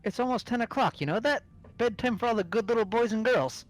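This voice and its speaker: A man's voice: very high-pitched, a little fast. A man voicing an irritated, self-righteous male student who scolds and accuses in an amateur game dub. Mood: irritated, scolding. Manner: loud, accusatory, scolding with childish swearing substitutes. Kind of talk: amateur fully voiced game playthrough.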